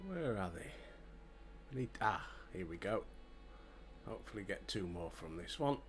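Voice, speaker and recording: A man's voice: low-pitched, animated, normal speed, a little hiss or hum. A middle-aged man with a Yorkshire accent, chatting casually with a friend while streaming a game and muttering about tasks. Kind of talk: casual chat while gaming.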